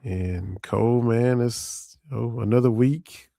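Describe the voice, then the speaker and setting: A hoarse man hosting a casual sports podcast, rambling loosely and repeating himself. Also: medium pitch, slow pace, mellow, no echo, studio quality. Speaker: podcast host.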